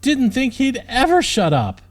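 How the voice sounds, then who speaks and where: A man's voice: very high voice, huge pitch swings, a little slow, faint music behind, pitched above the performer's own voice, full character voice. A man voicing a determined young woman escaped from captivity in an audiobook, commanding and sardonic. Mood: relieved, sardonic. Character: frazzled, fierce, determined young woman prisoner.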